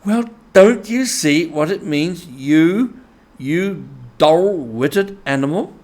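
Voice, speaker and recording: Guy doing a bossy worked-up storybook rat, impatient, exclaims a lot, voice pushed up higher. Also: expressive, takes it slowly, darkish tone. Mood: impatient despair. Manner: emphatic, exclaiming. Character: excitable, impatient male rat, bossy and exasperated. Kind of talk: animal character in storybook reading.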